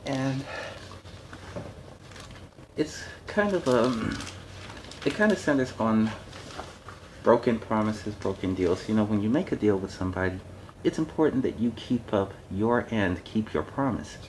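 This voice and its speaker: A man hosting a children's story time, reading aloud with chatty, halting, informal asides. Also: fairly low pitch, a little mellow, poor audio. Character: friendly costumed superhero storyteller. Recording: noticeable background noise.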